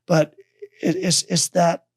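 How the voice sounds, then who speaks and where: A man's voice: high-pitched, sing-song, slow, husky. A man who grew up in Denmark, a guest chatting casually and jokingly on a podcast, often restarting his sentences. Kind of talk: casual chat.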